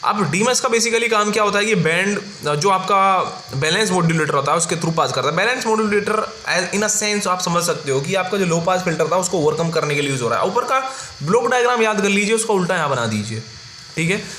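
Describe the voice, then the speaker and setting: An Indian man gives an instructional lecture in a bright, high voice. He explains things step by step like an engineering tutor, informally checking in as he goes. The recording is roomy and echoey. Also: lively intonation, a little fast. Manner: informal, instructional, frequent check-words like "right" and "okay". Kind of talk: exam-focused lecture explaining block diagrams. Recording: roomy echo with faint background noise.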